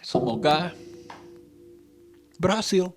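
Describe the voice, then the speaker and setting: A man with a colloquial Jakarta Indonesian accent talks reflectively and casually to camera as a vlogger, halting and thoughtful as he searches for words. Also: fairly high pitch, animated, music in the background. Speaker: vlogger and parent.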